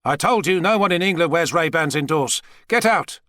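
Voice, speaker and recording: A man's voice: fairly high pitch, lively intonation, normal speed, bright, forceful tone, no room sound, clean studio sound, voice pushed up. A man voicing a brash, megalomaniac president with a boastful, folksy manner in an audiobook thriller. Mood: irritated. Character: American president, brash, heavy-set, megalomaniac schemer.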